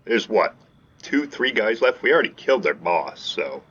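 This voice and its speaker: A man giving excited live commentary over his gameplay, talking to his viewers and shouting during fights. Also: fairly low pitch, normal speed, breathy edge, lo-fi sound. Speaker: gaming channel host. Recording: game music behind voice.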